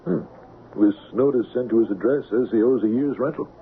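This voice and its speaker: Casting: man to play a businesslike police lieutenant for radio mystery, medium-pitched, clipped and pressing; narrow, noisy vintage sound. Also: fairly quick, natural voice. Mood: businesslike, probing. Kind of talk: radio drama acting.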